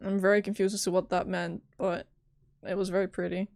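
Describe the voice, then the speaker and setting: A woman game streamer giving informal, expressive reaction commentary in a casual chatting style. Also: on the low side, fairly quick, slight background noise. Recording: faint game music behind. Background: faint game music.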